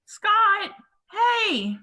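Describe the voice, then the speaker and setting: A woman's voice: very high voice, very animated, extremely slow, sharp tone, full character voice. A woman playing a bubbly, gushing seventeen-year-old schoolgirl in a table read. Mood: eager, friendly.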